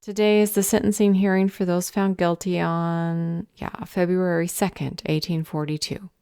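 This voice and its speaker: A woman playing a stern, bored council official in a fantasy audio drama, deadpan, clipped and sarcastic. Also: lowish voice, normal speed, clear, resonant tone, no room sound, studio quality, close to an everyday voice. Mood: sarcastic, curt.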